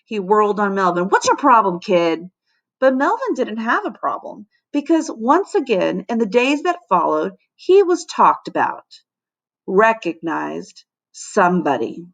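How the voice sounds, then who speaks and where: A woman teacher narrating a children's storybook aloud in an expressive, dramatic way. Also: mid-range voice, a little slow, creaky. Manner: animated, dramatic.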